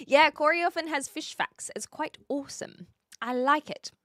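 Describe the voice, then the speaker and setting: Woman, New Zealand accent, bright crisp-edged tone, casual livestream chat, rambling and teasing, dry close sound. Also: high voice, moderate pace, dry recording. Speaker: live streamer. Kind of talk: casual livestream chat, reading and answering viewer comments.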